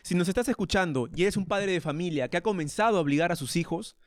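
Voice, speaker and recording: A man's voice: high voice, fast talker, no echo, clean studio sound. A young man co-hosting a podcast in casual banter, rambling and joking and stumbling over his words. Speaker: podcast co-host.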